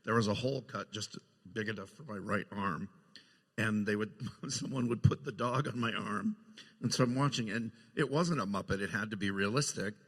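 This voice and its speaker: A man's voice: lowish voice, normal speed, fairly bright tone, breathy edge. A man telling casual anecdotes as a guest on a panel about his film work. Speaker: guest puppeteer sharing film memories. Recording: echoey hall with long reverb.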